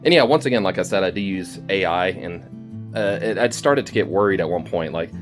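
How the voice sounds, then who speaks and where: A man talking in a casual, rambling vlog style to his listeners, informal and halting as he thinks aloud. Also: sits low, animated, soft background music. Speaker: storytelling channel creator and host. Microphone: phone video recording.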